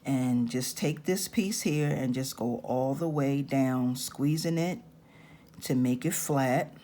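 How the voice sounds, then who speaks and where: Slow-talking raspy woman teaching crafts. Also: very deep voice, warm tone, slight background noise. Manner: slow, patient step-by-step instructions. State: raspy-voiced this morning. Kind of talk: hands-on craft tutorial.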